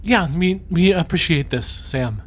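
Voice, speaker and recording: A man playing an awkward, excitable young adult in an audio drama, flustered and rambling. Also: high voice, unhurried. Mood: sheepish, earnest.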